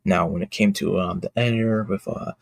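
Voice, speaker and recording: A man giving casual behind-the-scenes commentary as an indie creator, talking in a halting, rambling, enthusiastic way. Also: low voice, fairly even intonation, gravelly, no room sound, studio quality. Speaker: indie comic creator and video host.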